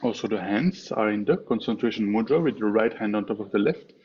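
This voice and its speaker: A man teaching a guided meditation in a measured way, pausing often between short phrases. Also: lowish voice. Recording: dull, muffled online call with clear background noise.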